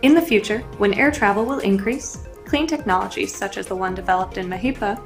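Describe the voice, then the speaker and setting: Female narrator, smooth scripted promo read, medium pitch, soft music underneath. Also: fairly quick. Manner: even, polished reading.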